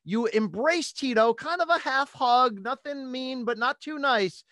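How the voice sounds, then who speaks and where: A man in his forties hosting a sports podcast, recapping and asking questions with emphatic, animated delivery into a close studio mic. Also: extremely high pitch, measured pace, no echo. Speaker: podcast host. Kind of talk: sports talk recap and interview. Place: small home studio room. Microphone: close studio microphone on boom arm.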